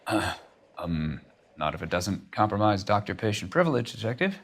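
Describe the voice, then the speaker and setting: An actor playing an evasive, haughty doctor, speaking in a hesitant, formal, guarded manner in a video game drama. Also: male voice, on the low side, big pitch swings, normal speed, noisy background. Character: evasive, haughty male doctor under police questioning.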